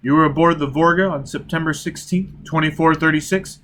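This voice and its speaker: A man's voice: a little high, brisk. Man playing a blunt, driven interrogator. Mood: demanding. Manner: blunt, forceful, impatient. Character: grim, driven man demanding answers. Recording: steady background noise.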